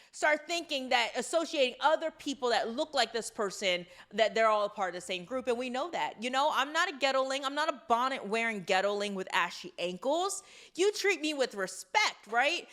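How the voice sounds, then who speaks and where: A woman's voice: high voice, a bit bright. A woman giving an emphatic, lecturing opinion monologue that speaks straight to the listener. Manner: emphatic, lecturing, direct address. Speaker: social commentator in a played clip.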